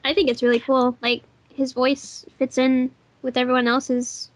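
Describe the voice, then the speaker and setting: A woman with a very high-pitched voice talks casually in a commentary. The sound is dry, with no room around her. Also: level tone, measured pace, no echo. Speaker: fandub voice actor and sound editor.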